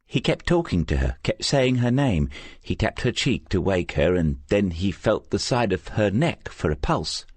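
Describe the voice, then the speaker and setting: A man's voice: on the low side, sing-song, normal speed, slightly rough, no echo, pitched above the performer's own voice. A man voicing a nervous, apologetic young woman care worker in an audiobook, hesitant and meek. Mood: nervous, earnest. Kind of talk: audiobook character voice.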